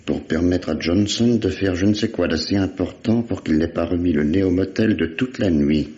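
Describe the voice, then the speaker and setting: A man playing a shrewd, stubborn police detective in a vintage radio mystery, measured and insistent. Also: low voice, dark tone, lightly acted. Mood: confident, brooding. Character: stubborn, shrewd male police detective, sure of his hunches. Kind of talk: 1950s French radio mystery acting. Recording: dull, band-limited old radio drama recording.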